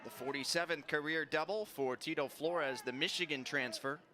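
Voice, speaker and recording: A man's voice: on the high side, moderate pace, pushed and bright. A man doing live play-by-play commentary of a baseball game as a sports announcer. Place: ballpark, live broadcast. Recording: noisy outdoor stadium ambience.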